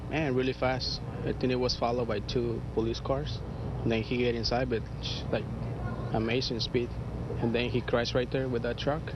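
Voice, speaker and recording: Flat-voiced man, noisy eyewitness interview. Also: mid-range voice, moderate pace. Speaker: fast food worker, eyewitness. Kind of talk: casual halting eyewitness account. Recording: dull, noisy street interview.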